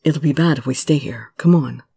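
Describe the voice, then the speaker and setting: A woman plays a young male musician in love, from a romance story. His voice sits low and husky, breathy and intense. He pleads earnestly, as if everything depends on being believed. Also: moderate pace, dark tone, no room sound, heavily acted. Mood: reluctant. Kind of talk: dramatic romance story reading.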